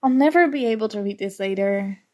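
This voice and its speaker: A woman playing a flustered, teasing young student rival in an intimate ASMR roleplay, soft-spoken, mock-annoyed and hesitant. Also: mid-range voice, expressive, fairly quick, natural voice. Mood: grudging, bantering.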